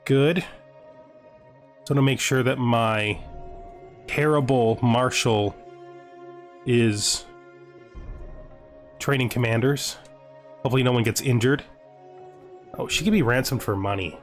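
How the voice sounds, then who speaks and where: A man's voice: medium pitch, slow pace, long pauses. A man hosting a let's play, thinking aloud as he comments, with playful mock-menacing asides. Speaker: host of a gaming video series. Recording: music behind the voice. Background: music.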